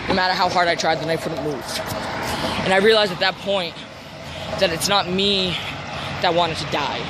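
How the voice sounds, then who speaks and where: Higher-pitched young man over loud traffic noise. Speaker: vlogger. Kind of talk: personal confessional vlog.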